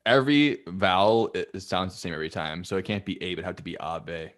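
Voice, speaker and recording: Low-voiced man in casual banter. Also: a little fast, fairly bright tone. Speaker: podcast host.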